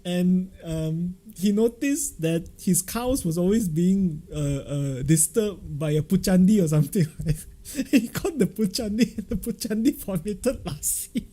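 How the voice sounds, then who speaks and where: A man with a Malaysian accent hosting a casual livestream, chatting and telling stories in an animated, jokey way full of local slang. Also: sits high, unhurried, few pauses. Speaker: livestream host. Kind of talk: casual live-stream chat and storytelling.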